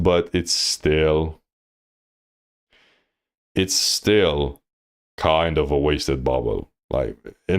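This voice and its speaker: Deep-voiced blunt game coach. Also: male voice. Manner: blunt, direct, filler-heavy coaching. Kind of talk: live-stream gameplay review.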